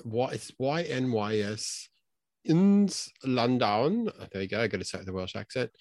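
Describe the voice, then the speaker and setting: A man's voice: mid-range voice, big pitch swings, moderate pace, darkish tone, dry recording. A man hosting a podcast, chatting casually with filler-heavy asides while judging photos. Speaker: podcast host.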